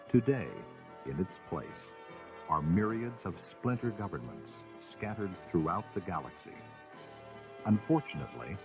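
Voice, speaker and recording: A man playing a solemn, portentous storyteller, narrating an audio drama in a measured, dramatic way. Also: deep voice, heavily acted. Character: grave, portentous male storyteller of space epics.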